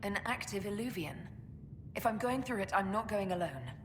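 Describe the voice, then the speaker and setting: A woman's voice: medium pitch, animated, moderate pace, soft background music, lightly acted. An actress playing a dry-witted, commanding woman leader of adventurers in a fantasy game, decisive in manner. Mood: wary, curious. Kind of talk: dramatic fantasy game acting.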